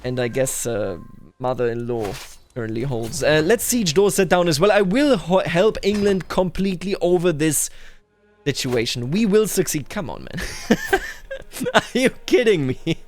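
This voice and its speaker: A man's voice: a little high, expressive, normal speed, faint music behind. A man giving casual roleplay commentary on a strategy game, thinking aloud and talking straight to the game's characters. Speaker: gaming video host.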